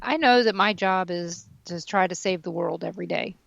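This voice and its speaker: A woman's voice: fairly low pitch, normal speed, gravelly, fairly bright tone, no room sound, muffled recording. A woman in her mid-fifties, talkative and self-deprecating, chatting casually as a radio co-host. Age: 50s. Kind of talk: casual chat.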